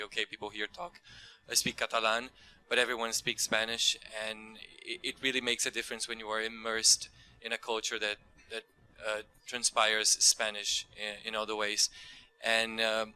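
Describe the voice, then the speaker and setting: Bright, even-toned young Brazilian man. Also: fairly low pitch, smooth, low-quality recording. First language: Portuguese. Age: young adult. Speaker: student panelist. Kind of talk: casual spoken testimonial at a Q&A.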